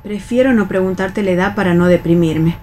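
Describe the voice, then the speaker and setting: An actress playing a resigned, bossy veteran factory worker in a radio play, with an Argentine accent and a colloquial, admonishing way of talking. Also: female voice, lowish voice, fairly quick, darkish tone, a little hiss or hum, close to an everyday voice. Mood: resigned, cynical. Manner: colloquial, admonishing, world-weary. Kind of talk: radio read theatre.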